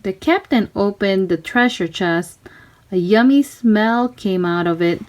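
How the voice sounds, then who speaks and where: Slow-paced woman reading a children's story. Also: medium pitch. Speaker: storybook reader. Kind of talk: children's read-aloud narration.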